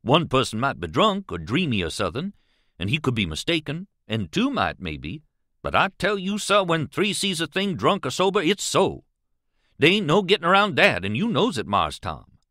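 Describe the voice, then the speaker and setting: A man's voice: a little high, wildly swooping pitch, moderate pace, pushed and bright, no echo, clean studio sound, pitched above the performer's own voice, heavily acted. A man voicing a frightened, superstitious and stubborn grown man in an audiobook, with a Southern US accent and earnest, emphatic dialect speech. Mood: stubborn, insistent. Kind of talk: audiobook character voice.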